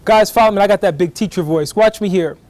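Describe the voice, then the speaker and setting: A middle-aged man giving a lively college lecture in fast bursts, often checking whether the class is following. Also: high voice, no echo. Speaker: guest lecturer, professor.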